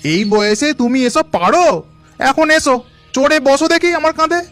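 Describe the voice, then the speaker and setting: A man's voice: extremely high pitch, moderate pace, a bit bright, soft background music. A man voicing a kindly god in disguise in a cartoon, coaxing and reassuring. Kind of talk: cartoon voice acting.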